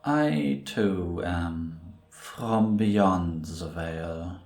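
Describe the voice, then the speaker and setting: A man playing a grave, brooding priest who tells a dark tale in a solemn, ominous, deliberate way for a cinematic audio drama. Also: low voice, vocal fry, heavily acted. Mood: grave, probing. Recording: roomy echo on the voice.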